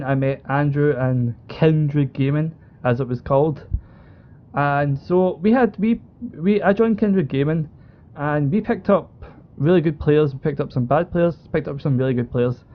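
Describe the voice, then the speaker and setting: A young British man telling a story casually as an esports co-owner, with informal, enthusiastic, repetitive wording over an online call. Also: fairly high pitch. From United Kingdom. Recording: dull lo-fi voice call.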